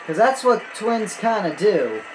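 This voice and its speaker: A video game walkthrough host giving casual, excitable commentary and talking to themself while playing. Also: mid-range voice, measured pace, over music, low-quality recording. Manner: excitable exclamations and self-talk while playing.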